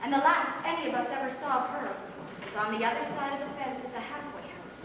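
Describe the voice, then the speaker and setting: A woman's voice: high voice, fast talker, natural voice. An actress playing an eerily serene, morbid mother in a live amateur stage play. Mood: morbid, brightly unsettling. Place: small theatre stage, distant microphone. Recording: echoey, noisy theatre recording from far off.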